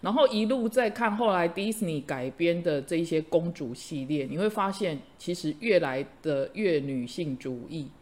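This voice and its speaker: A middle-aged woman, a host and teacher, chatting casually and telling lively stories with frequent laughter. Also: on the low side, moderate pace, few pauses. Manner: animated storyteller, laughs often. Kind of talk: casual chat.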